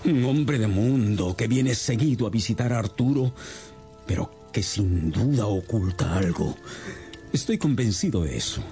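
A troubled, earnest gentleman of middle age in a radio drama. His voice is soft-edged and mellow, with agitation running through it, and music plays faintly underneath. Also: on the low side, animated, a little slow. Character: distressed respectable older father, a Victorian gentleman. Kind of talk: Spanish-language radio drama acting.

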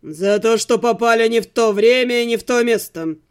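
A woman's voice: fairly high pitch, clean tone, pitched below the performer's own voice, lightly acted. A woman voicing a cold, condescending male courtier who menaces his captives in a fantasy audiobook. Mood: cold, menacing. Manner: deliberate, condescending, threatening.

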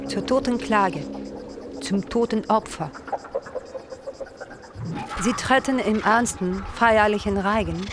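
A woman's voice: mid-range voice, lightly acted. A woman playing a radio co-presenter who tells myths of the borderlands in scripted narration. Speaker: radio co-host.

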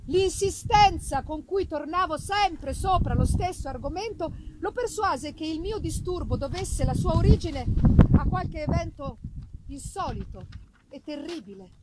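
A woman reader giving a dramatic literary narration with theatrical, deliberate phrasing. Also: high voice, background noise.

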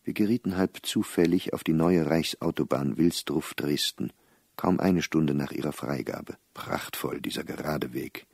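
An actor playing an embittered, middle-aged scholar reading his diary in a measured, dry, articulate radio drama delivery. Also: very deep voice, creaky, darkish tone, slightly rough, no echo, exaggerated voice. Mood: observant.

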